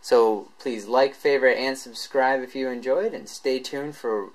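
A man presenting a tech tutorial in a casual, informal, unscripted way, halting as he explains each step. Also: medium pitch.

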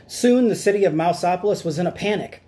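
A man's voice: sits high, animated, fast talker, dark tone. A middle-aged man reading a children's picture book aloud in a dramatic storytelling style. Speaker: storytime reader. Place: small room at home, indoors.

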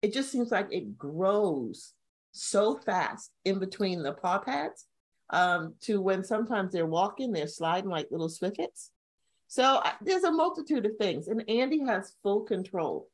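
A middle-aged woman co-hosting a casual chat over a video call from home, telling stories with sudden high-pitched bursts of emphasis. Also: very animated, measured pace. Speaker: co-host. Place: home room, indoors. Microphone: video call webcam.

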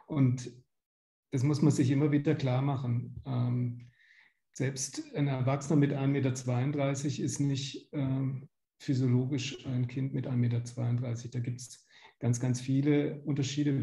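A man's voice: medium pitch, creaky, mellow. A man in his fifties from Germany giving an explanatory, somewhat hesitant medical lecture over a video call. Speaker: medical lecturer, pediatric gastroenterologist. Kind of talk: slide lecture to patients. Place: small indoor room, on video call. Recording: roomy home or office echo.

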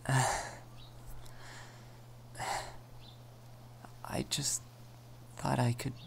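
A man voicing a shy, flustered young male vampire in a soft ASMR roleplay, stammering and hesitant. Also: mid-range voice, level tone, a little slow, creaky, slight background noise. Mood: apologetic, sad.

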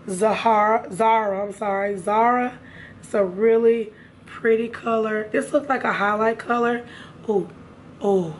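A young woman with a raspy, hoarse voice, chatting casually to her viewers about makeup in a room at home. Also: a little high, slight background noise. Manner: chatty, addresses viewers directly. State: hoarse from a sinus infection. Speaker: beauty vlogger. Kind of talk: casual makeup haul chat.

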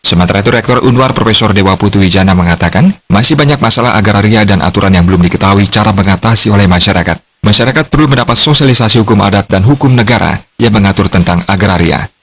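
Fast low-voiced male news reporter, husky. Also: a bit bright. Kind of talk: scripted news narration.

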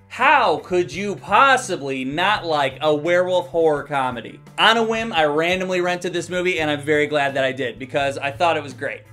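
A man in his thirties reviewing films to camera in a casual, comic, animated and sweary way. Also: a little high. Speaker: film reviewer. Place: small indoor room. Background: faint background music.

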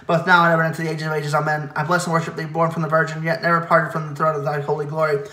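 Man, fairly high voice, flat monotone liturgical reading, fast, roomy echo. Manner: quick, steady recitation with stumbles and self-corrections. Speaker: reader leading a church service. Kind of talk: liturgical chanting of hymn verses.

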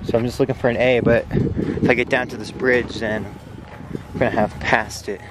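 A man's voice: mid-range voice, flat delivery, moderate pace, loud music behind the voice. A young man talking casually to his handheld camera while hiking outdoors in a mountain forest. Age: young adult. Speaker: vlogger. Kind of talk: casual travel vlog. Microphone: handheld camera at arm's length. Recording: noisy outdoor handheld recording.

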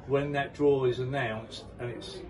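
A man's voice: medium pitch, fairly even intonation, a little slow, a little mellow. An older man interviewing a player after a match, chatty and promotional, outdoors at a football ground. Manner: chatty, promotional, leading questions. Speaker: club interviewer. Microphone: handheld mic, camera at a distance. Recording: distant mic with crowd noise.

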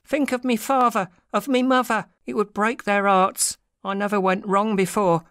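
A woman's voice: medium pitch, fairly even intonation, normal speed, a bit bright, smooth, no room sound, studio quality, natural voice. A woman playing a small, frightened, cowardly man, servile and pleading, in an audiobook dramatic reading. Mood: desperate, pleading. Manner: nervous, pleading, deferential.

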